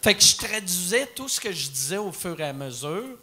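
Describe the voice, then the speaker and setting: A man's voice: high-pitched, very animated, brisk, sharp tone. A young man with a Québécois accent and a slight stutter, English his first language, hosting a casual comedy podcast with laughing, swearing banter. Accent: Québécois French. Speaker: podcast host.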